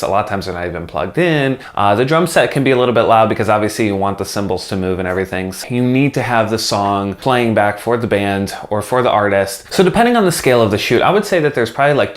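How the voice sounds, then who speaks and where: A man talking casually to camera as a video host, explaining things in an informal, conversational way. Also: on the low side. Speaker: video host, music video filmmaker. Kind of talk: casual instructional vlog talk to camera.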